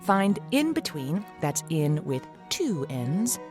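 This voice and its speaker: A woman's voice: deep voice, very animated, slow, music in the background. A woman reading a scripted but conversational podcast promo in a playful, chatty manner, as a show's co-creator.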